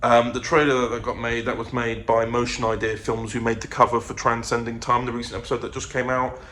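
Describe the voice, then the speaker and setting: A man talks in an off-the-cuff vlog style at a middling pitch. He rambles and breaks into his own sentences, with noticeable noise behind his voice. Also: little pitch movement, a little fast, a bit bright. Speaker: vlogger and audio drama producer. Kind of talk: casual vlog chat.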